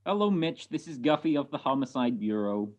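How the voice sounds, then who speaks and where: A gruff, wisecracking homicide sergeant , acted by a woman, from an old detective radio play. The delivery swings widely in pitch, with blunt slang and sardonic jabs at the suspects. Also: expressive, a little fast, darkish tone, highs cut off. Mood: grudging. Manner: sardonic, slangy, blunt tough-cop talk. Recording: video call recording.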